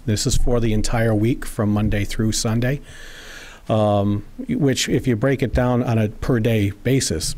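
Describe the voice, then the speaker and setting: A man, the team's coach, reporting costs to a school board in a loud, plain-spoken way. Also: on the low side.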